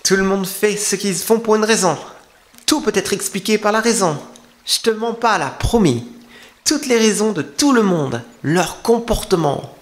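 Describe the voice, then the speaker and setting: A man's voice: sits high, expressive, moderate pace, pitched above the performer's own voice. A man voicing a coy young femboy streamer in an intimate, teasing ASMR roleplay, talking confidingly to the listener. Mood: reflective, confiding. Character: coy young male femboy streamer serving in a maid café.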